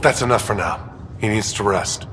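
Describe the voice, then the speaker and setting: Man acting a low-voiced, cautious ship doctor. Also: moderate pace, fairly bright tone, natural voice. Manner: hesitant, careful. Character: cautious male ship doctor, weary but kindly. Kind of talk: dramatic video game acting. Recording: hall reverb, faint ambient noise.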